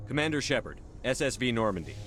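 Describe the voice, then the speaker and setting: An actor playing a composed, dutiful military commander in a video game, direct and matter-of-fact. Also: male voice, mid-range voice, big pitch swings, quick pace, a bit bright, slight background noise. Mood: composed, respectful. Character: composed, dutiful male military starship commander, firm and diplomatic.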